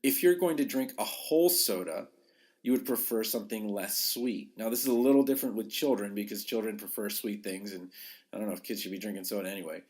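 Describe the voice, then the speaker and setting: A man's voice: lowish voice, fast talker, darkish tone. A man talking informally as a podcast host and coach, giving advice in a conversational, emphatic way. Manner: conversational, emphatic, acts out short quotes. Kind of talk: informal advice talk to listeners.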